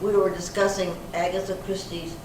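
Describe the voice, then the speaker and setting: A woman's voice: on the low side, flat delivery, moderate pace, lightly acted. Woman acting a feisty older suspect, echoing hall. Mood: matter-of-fact. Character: feisty, indignant older woman suspect, club activities coordinator. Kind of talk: amateur readers theater reading. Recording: very echoey hall, distant mic, noisy.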